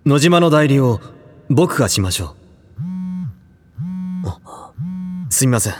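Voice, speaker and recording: A man's voice: medium pitch, very animated, slow pace, darkish tone. A man playing a serious, reserved, tormented teenage boy in an anime-style audio drama. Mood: distracted, apologetic.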